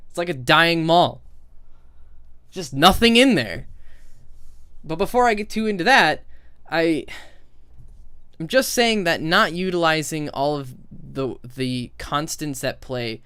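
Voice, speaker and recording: A man's voice: a little high, animated, slow, long pauses, bright, forceful tone, smooth, no echo. Informal man in a dry recording. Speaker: video game critic. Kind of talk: casual opinionated video commentary.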